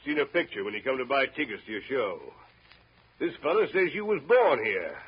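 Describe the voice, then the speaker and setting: An actor playing a stern, menacing small-town sheriff in a 1930s radio drama, blunt and drawling with an American accent. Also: male voice, mid-range voice, big pitch swings, a little fast, husky. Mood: stern, accusing. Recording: muffled, noisy 1930s radio broadcast recording.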